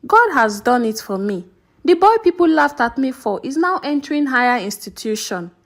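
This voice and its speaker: A woman playing an overprotective, high-voiced mother. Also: sing-song, normal speed. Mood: proud hopeful. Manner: scolding, boastful, insistent. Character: overprotective, proud Nigerian village mother, doting and combative.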